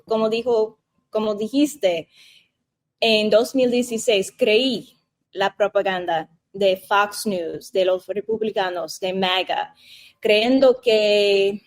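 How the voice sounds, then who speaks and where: A woman's voice: mid-range voice, darkish tone. An American woman whose first language is English, answering interview questions in a second language, hesitant and searching for words. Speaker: interview guest.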